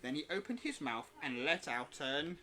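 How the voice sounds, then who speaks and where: A man's voice: a little high, big pitch swings, normal speed, pushed and bright, low-quality recording. A British man playfully and expressively reading a rhyming bedtime story as a streaming parent. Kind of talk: animated rhyming storybook narration.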